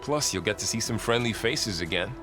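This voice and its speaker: A man playing a loyal young warrior companion in a video game, with a gently persuasive manner. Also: fairly low pitch, animated, fairly quick, natural voice. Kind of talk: dramatic video game acting. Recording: music behind the voice.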